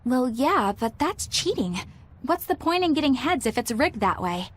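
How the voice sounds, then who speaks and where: A woman's voice: high voice, big pitch swings, normal speed. A woman voicing an earnest, proper, spirited young woman with a forthright manner in a dubbed video game cutscene. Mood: sceptical. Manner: forthright, instructive. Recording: music behind the voice.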